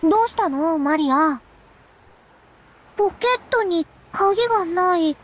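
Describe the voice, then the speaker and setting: Very high-pitched innocent little girl. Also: animated. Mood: worried, confused. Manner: childlike, halting, verbal tic. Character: lonely, innocent little girl chatting with her dolls. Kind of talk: Japanese visual novel voice acting. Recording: music behind the voice.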